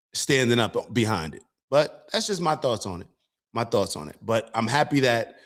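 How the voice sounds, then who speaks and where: A man hosting an online show and giving casual political commentary. He speaks earnestly, talks to viewers as y'all, and often restarts his sentences. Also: medium pitch. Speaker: show host.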